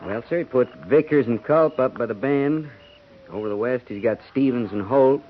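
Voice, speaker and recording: A dutiful, plain-spoken cavalry sergeant, as an actor plays him. He reports in a deferential way at a middling pitch. The old broadcast sounds dull, with faint hiss. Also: moderate pace, lightly acted. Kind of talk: 1950s radio drama acting. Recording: muffled 1950s radio broadcast, faint hiss.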